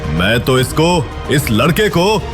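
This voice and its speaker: A man's voice: medium pitch, very animated, music in the background. Man acting a menacing, swaggering gangster don. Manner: threatening, drawn-out sneering street talk. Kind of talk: Hindi audio drama acting.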